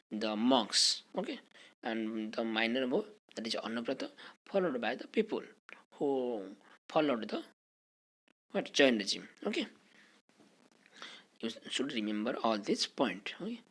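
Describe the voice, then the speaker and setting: A teacher with an Indian accent giving an exam-prep history lecture, speaking haltingly and often checking in with "okay". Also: medium pitch, fairly bright tone, husky.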